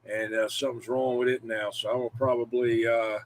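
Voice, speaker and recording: A man with a middle-pitched voice rambles in folksy CB slang, chatting casually about gear. His recording carries a light layer of noise. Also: level tone, a little fast. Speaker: CB radio hobbyist. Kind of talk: casual radio chat about equipment.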